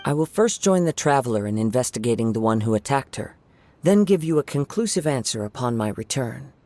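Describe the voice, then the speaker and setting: An actor playing a calm, courteous young samurai swordsman in a video game, speaking in a measured, polite, formal way. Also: mid-range voice, a little hiss or hum, lightly acted. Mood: composed, courteous.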